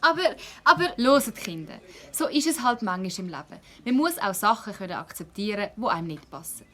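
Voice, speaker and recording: A woman's voice: medium pitch, lively intonation, fairly quick, a bit bright. A woman with a Swiss German accent playing a female village mayor who patiently placates children in an audio drama. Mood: placating.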